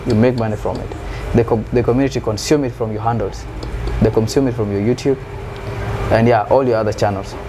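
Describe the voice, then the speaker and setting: A man's voice: on the low side, brisk. A man from South Sudan, a musician answering interview questions in an earnest, searching way, often repeating words as he finds his phrasing. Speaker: musician guest. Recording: steady background noise.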